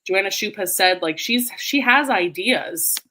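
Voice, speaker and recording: A woman's voice: mid-range voice, animated, unhurried, pushed and bright. A woman chatting casually and emphatically as host of a livestream book talk, often peppering her sentences with "like". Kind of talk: casual group chat. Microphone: video call livestream.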